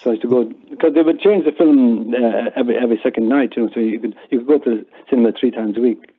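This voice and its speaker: A man's voice: medium pitch, fast talker, husky. An older Irish man chatting casually and reminiscing as an interview guest, often repeating words as he goes. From Ireland. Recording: muffled, phone-like narrowband line.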